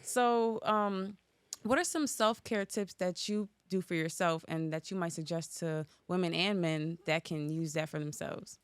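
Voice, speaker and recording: A woman's voice: on the low side, unhurried, clear, resonant tone, no room sound. A woman hosting a casual podcast chat, talking in a loose, rambling way with lots of "like". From Norwalk, Connecticut, USA. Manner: chatty and rambling, heavy use of "like". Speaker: podcast host.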